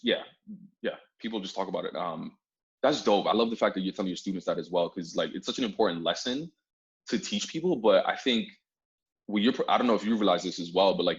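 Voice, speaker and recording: Man podcast host with a lowish voice, easygoing and encouraging, on a dull lo-fi recording. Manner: laid-back, filler-heavy, encouraging. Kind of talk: casual interview chat. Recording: dull lo-fi sound.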